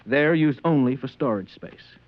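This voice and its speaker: A man's voice: medium pitch, animated, normal speed, no room sound, lightly acted. Male actor as dogmatic sci-fi customs officer, curt and matter-of-fact, close dry sound, muffled vintage radio. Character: stern, dogmatic male customs agent of a regimented planet. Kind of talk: 1950s radio drama acting. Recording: dry, dull old radio recording.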